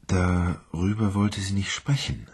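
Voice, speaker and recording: A husky, timid lonely man. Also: sits low, takes it slowly, heavily acted. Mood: wistful. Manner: hesitant, quiet, wondering. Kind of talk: radio drama acting.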